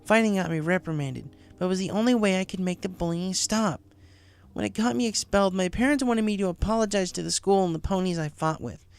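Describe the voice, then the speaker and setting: A man voicing a hurt, defiant young female pegasus pony in a storybook reading, gravelly and bitterly confiding. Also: sits high, fairly quick, soft background music, pitched above the performer's own voice. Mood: wounded. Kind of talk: acted storybook dialogue.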